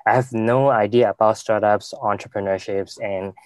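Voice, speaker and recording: Interview guest: young man from Hong Kong, lowish voice, dry close sound, halting delivery. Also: steady tone, a little mellow, clear, resonant tone, no echo. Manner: halting, many fillers and restarts. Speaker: guest, venture capital investor. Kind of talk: interview answers.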